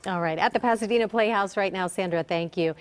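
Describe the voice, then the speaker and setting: A woman's voice: medium pitch, quick pace, smooth. Female TV interviewer. Mid-range voice, conversational broadcast delivery, informal and encouraging. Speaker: TV news host, interviewer.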